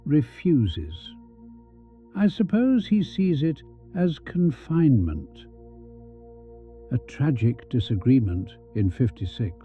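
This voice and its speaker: A man's voice: mid-range voice, very animated, slow. A man playing the talking portrait of a former headmaster in an audio story, world-weary and gossipy, with a dry drawl. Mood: dry, resigned. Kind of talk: audio fan fiction acting. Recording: dull, band-limited sound. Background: music.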